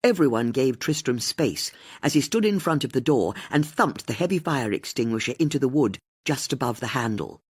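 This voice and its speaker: A woman with a husky voice, reading a mystery audiobook as scripted narration. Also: extremely low pitch, animated, normal speed, few pauses.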